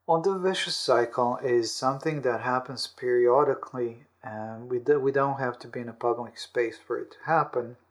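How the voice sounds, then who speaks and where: Man explaining things in halting, repeated steps. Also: mid-range voice. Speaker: interview guest, audiobook author. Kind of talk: explanatory interview talk on self-help.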